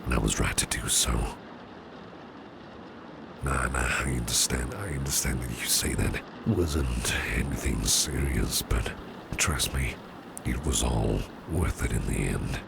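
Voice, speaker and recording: A man playing a doting, protective businessman boss with a sly edge in an ASMR roleplay, gravelly and breathy at times, with genteel, old-fashioned phrasing. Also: very deep voice, measured pace, background noise, full character voice. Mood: protective, earnest. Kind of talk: ASMR roleplay, soft personal attention.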